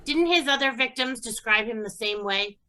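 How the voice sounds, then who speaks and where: A woman's voice: a little high, animated, normal speed. A woman playing a stern, exasperated police chief with a commanding manner in an old-time radio crime drama. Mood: probing, dry. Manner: forceful, commanding, indignant.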